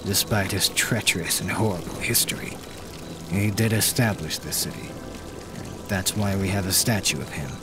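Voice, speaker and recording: Weary male sorcerer, acted by a man, lowish pitch, grudging, hesitant, fantasy audio story, music behind. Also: moderate pace. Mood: reluctant explaining. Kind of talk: dramatised fantasy audiobook acting.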